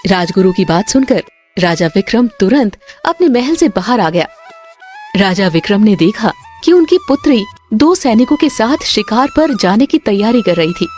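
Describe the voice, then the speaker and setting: A woman narrating a scripted cartoon story in an expressive storyteller's manner. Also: medium pitch, moderate pace, soft background music.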